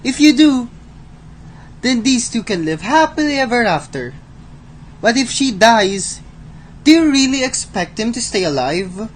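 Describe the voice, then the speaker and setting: A woman playing Death, a sardonic, playful, menacing male personification, in a radio drama with a slow, mocking delivery. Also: fairly high pitch, expressive, a little hiss or hum, low-quality recording. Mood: taunting.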